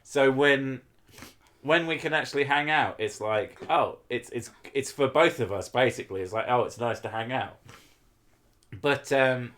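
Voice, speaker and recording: Male streamer, mid-pitched, rambling casual chat with swearing. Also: normal speed, a bit bright. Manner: swears often, rambling with fillers.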